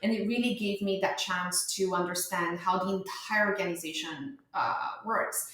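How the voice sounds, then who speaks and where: A woman's voice: on the low side, moderate pace, a bit bright. A woman from Romania in her thirties, a startup founder answering interview questions in a chatty way with many fillers and restarts. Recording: very echoey room.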